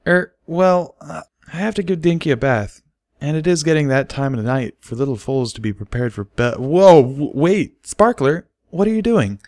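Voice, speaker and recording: A man's voice: fairly high pitch, expressive, moderate pace, a little mellow, no echo, clean studio sound, pitched above the performer's own voice. A man voicing a shy, easily flustered pony mother with a hesitant, stammering delivery in a storybook reading. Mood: flustered surprise.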